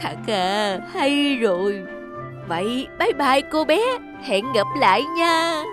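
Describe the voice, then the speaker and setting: A sly, greedy wolf played by a woman for a fairy-tale cartoon. The pitch swoops widely as it gloats and coaxes, with clear music playing underneath. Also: expressive. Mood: smug. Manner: scheming, gloating, drawn-out coaxing. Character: sly, greedy big bad wolf stalking prey.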